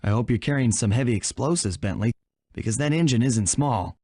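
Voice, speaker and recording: A man's voice: mid-range voice, brisk, darkish tone, husky, no room sound, studio quality, natural voice. Man as a cool, confident young thief hero. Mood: wry, confident. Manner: cool, wry, casual. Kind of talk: video game character acting.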